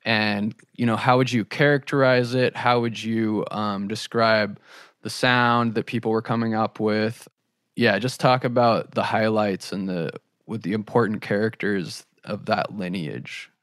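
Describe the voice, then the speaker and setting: A man's voice: on the low side, steady tone, a little slow, fairly bright tone, no echo, clean studio sound. A man hosting a podcast interview, casually building long, thoughtful questions with hesitant phrasing.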